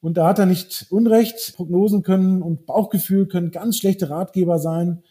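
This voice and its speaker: A man's voice: high-pitched, fairly even intonation, normal speed, warm tone. A man in his forties who grew up in Brazil, a wealth manager talking through his views in a podcast conversation with frequent hesitations and restarts.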